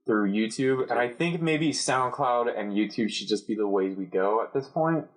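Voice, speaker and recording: A man's voice: medium pitch, lively intonation, normal speed. A young man co-hosting a casual podcast chat, joking, swearing and laughing often. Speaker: podcast co-host.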